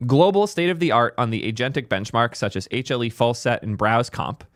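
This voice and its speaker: Excitable young man, hoarse, dry close mic. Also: medium pitch, brisk, no room sound. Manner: excitable, restarts lines, swears casually. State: voice a bit hoarse, clearing throat. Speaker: tech video host. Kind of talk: casual tech commentary to camera.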